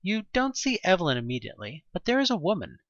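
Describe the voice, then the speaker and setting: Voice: medium pitch, wildly swooping pitch, quick pace, no room sound, dull audio. A narrator reading a scripted second-person story for an audio drama.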